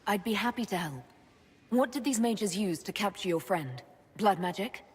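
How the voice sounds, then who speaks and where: An actress playing a composed, supportive leader and adventurer in a video game, speaking in a direct, matter-of-fact way. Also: female voice, medium pitch, lively intonation, moderate pace, husky. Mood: calm, concerned. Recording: faint background noise.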